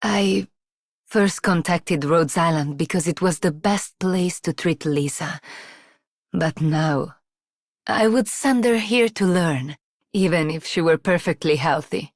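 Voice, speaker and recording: A woman's voice: fairly low pitch, slow, no room sound, clean studio sound. A woman voicing a grave, caring, mature guardian in a scripted video game line. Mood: grave, grateful.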